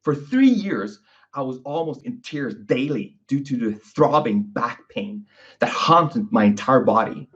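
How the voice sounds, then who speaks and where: A man's voice: mid-range voice, lively intonation, a little slow, fairly bright tone. A man hosting his own podcast, talking casually and often tagging his lines with "okay?" and "you know". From Sweden. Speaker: podcast host, physiotherapist. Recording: dull lo-fi recording.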